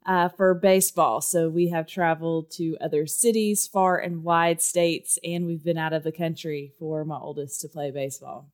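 Smooth Texan woman, lowish voice. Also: steady tone, moderate pace, few pauses, darkish tone. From Texas. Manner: chatty, with frequent ums and uhs. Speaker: guest, education administrator. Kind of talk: conversational interview answers.

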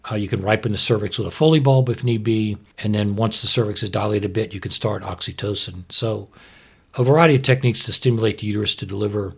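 A man's voice: lowish voice, fairly even intonation, quick pace, vocal fry, breathy edge. A man speaking as a doctor, explaining medical practice in an informal, plain-spoken, instructive way. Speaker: obstetrician, expert guest.

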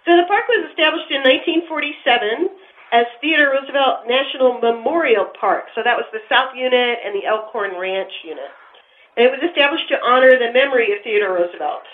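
A woman's voice: high voice, fairly quick. A woman giving formal, precise, prepared answers as an official in an interview. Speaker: park official being interviewed. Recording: thin phone-like line.

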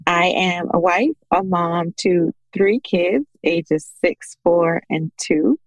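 A woman with a somewhat low voice and noticeable vocal fry chats as a podcast guest. She tells her own story in a conversational way, livening up when she quotes others. The recording is dry and close. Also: takes it slowly, no room sound, dull audio. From Bahamas. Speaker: podcast guest, small business owner. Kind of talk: conversational personal storytelling in an interview.

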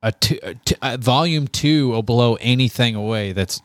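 A man's voice: mid-range voice, moderate pace, a bit bright, no room sound, studio quality. A man chatting casually as a co-host, chiming in with slangy, agreeing remarks. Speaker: co-host of a guitar watch-along show. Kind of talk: casual chat.

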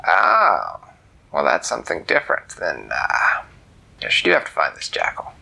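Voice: extremely low pitch, wildly swooping pitch, normal speed, vocal fry, pushed and bright, pitched below the performer's own voice, heavily acted. A storyteller voicing an ancient, grumpy male cat who talks in curt, whispery grumbles.

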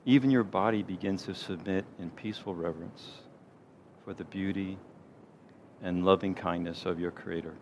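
Low-voiced man leading a gentle guided meditation. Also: steady tone. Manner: gentle, unhurried, soothing delivery with pauses. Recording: clear background noise, low rumble.

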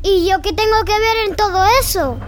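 Radio play: inquisitive little girl, exclamatory and wide-eyed, very high voice. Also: moderate pace, a bit bright, a little hiss or hum. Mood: puzzled, protesting. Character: Micaela, curious spirited little girl. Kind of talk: children's radio play acting.

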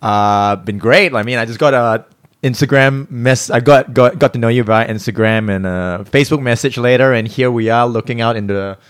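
A man's voice: mid-range voice, normal speed, smooth, no echo, studio quality. A man in his thirties from Singapore, a guest talking casually in a hotel room, rambling and correcting himself, laughing now and then. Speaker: guest, personal trainer. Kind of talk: casual interview talk.

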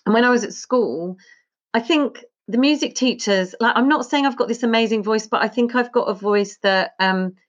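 A woman's voice: a little high, normal speed. Chatty woman in her fifties, lo-fi. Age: 50s. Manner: chatty, rambling, laughs often. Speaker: podcast guest, musician. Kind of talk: casual interview chat. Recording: slightly dull lo-fi sound.

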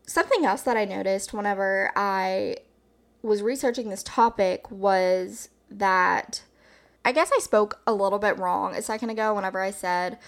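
A woman hosting a solo podcast in a casual, reflective, rambling way, with a slightly hoarse just-woke-up voice. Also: mid-range voice. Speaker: podcast host.

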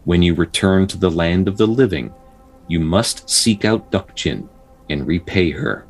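A solemn messenger of the dead voiced by a man from a folktale. The voice is deep and husky, giving formal instructions with calm command. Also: unhurried, soft background music, full character voice.

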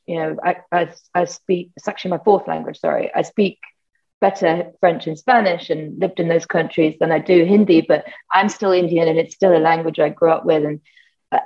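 A woman's voice: lowish voice, darkish tone, lo-fi sound. A woman with a British accent, a guest musician chatting casually and enthusiastically in an interview, rambling with lots of "like" fillers.

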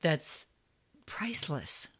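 A woman's voice: slow, a bit bright. A woman co-hosting a podcast, chatting casually in an effusive, agreeable way. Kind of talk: casual conversational chat.

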